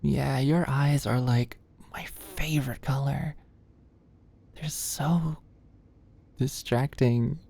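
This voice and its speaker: A woman playing a sweet, anxious young medical student in an ASMR roleplay, speaking gently and a little awkwardly close to the mic. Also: rumbling low, steady tone, vocal fry, exaggerated voice. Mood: shy, smitten. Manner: gentle, halting, clinical instructions slipping into shy fluster. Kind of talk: ASMR roleplay, soft close conversational delivery. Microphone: close microphone, dry sound.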